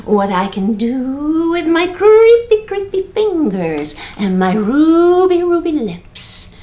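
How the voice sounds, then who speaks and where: A spooky, teasing ghost , put on by a woman stretches every word slowly and swoops up high and loud. The voice creeps along, then climbs in pitch for effect. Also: very animated, full character voice. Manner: slow, drawn-out, rising spooky voice.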